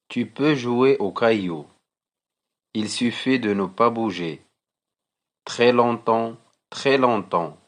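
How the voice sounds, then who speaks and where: A man recites poetry as a teacher would for pupils. His delivery is extremely slow and deliberate, each short phrase measured out. The voice sits at a middling pitch for a man.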